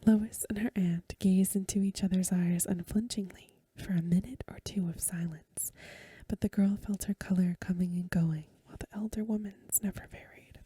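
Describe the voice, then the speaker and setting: Very breathy whispering woman reading softly. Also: medium pitch, steady tone, no room sound. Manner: gentle, hushed, measured reading. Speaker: ASMR host and reader. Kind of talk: ASMR soft-spoken story reading. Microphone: close microphone.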